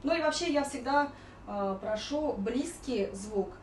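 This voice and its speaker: High-voiced female vocal coach in a roomy space. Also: lively intonation, measured pace, a little hiss or hum. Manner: explanatory, demonstrating vowels and sung warm-ups. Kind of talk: informal spoken singing lesson with explanations. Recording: roomy echo, distant mic, music behind.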